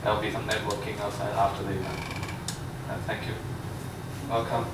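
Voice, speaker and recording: A man's voice: lowish voice, flat delivery, a little fast, heavy background noise. A man hosting an event, introducing the speaker informally and hesitantly, with many fillers. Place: large echoey lecture room. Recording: echoey room recorded from far off.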